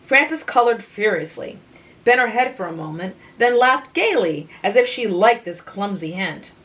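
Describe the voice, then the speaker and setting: A woman giving a calm, relaxing reading of a literary short story. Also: mid-range voice. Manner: slow, calm, unhurried. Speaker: reader of literature.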